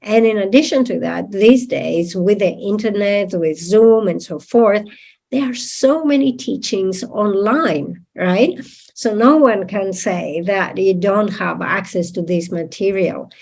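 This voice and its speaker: A woman whose first language is not English, interpreting a Buddhist teaching in a deliberate manner and checking in with listeners. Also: medium pitch, vocal fry, a little mellow. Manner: deliberate, with tag questions to listeners.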